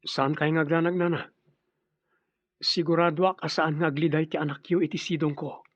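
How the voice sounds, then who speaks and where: A man's voice: a little high, flat delivery, moderate pace, dry recording, lo-fi sound, close to an everyday voice. A man with a Filipino accent playing an earnest, dutiful grown son and suitor in an Ilocano radio drama. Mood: earnest, respectful.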